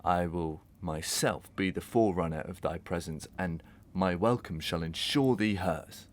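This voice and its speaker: A man playing a cold, taunting murderous husband in a Victorian melodrama, speaking in measured, formal, archaic phrases. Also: low voice. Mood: commanding. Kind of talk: Victorian melodrama audio acting.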